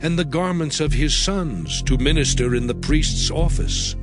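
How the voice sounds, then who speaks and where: A man playing God giving laws, solemn, stern and measured, in a declamatory dramatized scripture reading. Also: fairly high pitch, close to an everyday voice. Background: music.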